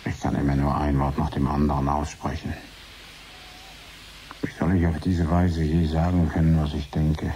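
Radio play: frustrated man brooding in monologue, extremely deep, mellow tone, slight tape noise. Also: little pitch movement, normal speed. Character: frustrated man trapped in his own thoughts. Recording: hissy, noisy 1980s radio recording.

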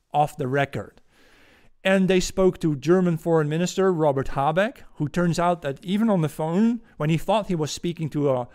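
Ranting Dutch-accented man, higher voice. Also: a little mellow. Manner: indignant, ranting, gesturing with his hands. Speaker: podcast host. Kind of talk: political commentary monologue. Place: home room, indoors. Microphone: studio mic on a boom arm.

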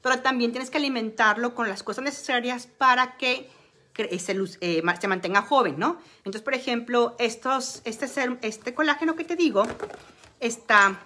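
A chatty woman talks straight to her phone camera in a small room at home. Her voice sits a little high and sounds bright and forward. She rambles casually, filling pauses with fillers as she shows products. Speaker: beauty and wellness vlogger. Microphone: phone camera at arm's length.

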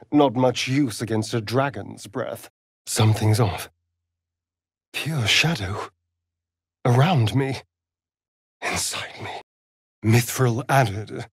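Sly vampire rogue, video game character, theatrical drawl, animated intonation, close dry recording. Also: male voice, mid-range voice, husky, no room sound, heavily acted. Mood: wry, musing.

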